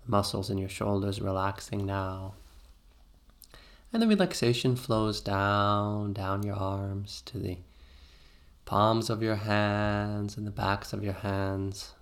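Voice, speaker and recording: A man narrating a guided meditation in a soothing, gently instructive way with pauses between phrases. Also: fairly low pitch, steady tone.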